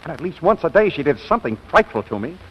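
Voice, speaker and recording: A man's voice: on the high side, big pitch swings, quick pace. A man playing a hot-tempered, exasperated businessman in a 1940s radio comedy, speaking with indignant emphasis. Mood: aggrieved, ranting. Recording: muffled, hissy old radio recording.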